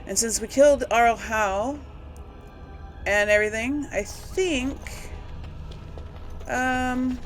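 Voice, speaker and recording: Slow-paced woman chatting through a game. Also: fairly high pitch, faint music behind, low-quality recording. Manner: chatty, thinks aloud, asks herself questions. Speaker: video game streamer and series host. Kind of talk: casual let's play commentary.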